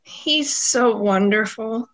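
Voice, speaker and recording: A woman's voice: a little high, takes it slowly, poor audio. A woman playing an eager American club singer in an amateur radio mystery, earnest, polite and a little gushing. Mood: earnest, wistful.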